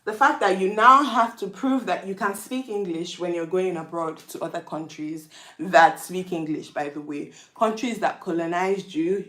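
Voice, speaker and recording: A woman's voice: lowish voice, fairly bright tone, reverberant. A woman from Nigeria with a Nigerian accent, sharing her opinions in a casual, reflective monologue full of rhetorical questions. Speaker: vlogger.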